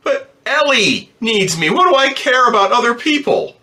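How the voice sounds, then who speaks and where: A man's voice: extremely high pitch, very animated, normal speed, sharp tone, exaggerated voice. A man playing an embittered, distraught ambulance driver in slangy, stammering old-time radio drama style. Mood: frantic, pleading. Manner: slangy working-class talk, stammering when upset.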